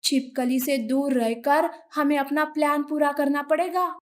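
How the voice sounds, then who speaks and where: A woman voicing an anxious, indignant mother hen in an animated children's story, plaintive and scolding. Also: high voice, a little slow. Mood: anxious plotting.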